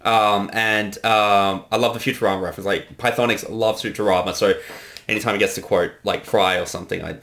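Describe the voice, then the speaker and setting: A man's voice: on the low side, steady tone, brisk, vocal fry, sharp tone. Man giving rambling, sweary director's commentary. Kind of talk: casual creator commentary.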